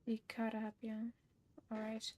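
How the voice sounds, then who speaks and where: A woman giving casual live game commentary, thinking aloud in a halting, reflective way. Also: on the high side, monotone, darkish tone. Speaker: game streamer.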